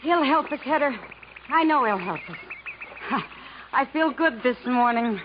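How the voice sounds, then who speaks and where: A high-voiced young woman, stubbornly hopeful and earnest while stranded in the jungle. Her delivery is emotive 1950s radio drama acting. The sound is dull and noisy, like an old broadcast. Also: lively intonation, a little slow. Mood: hopeful, determined.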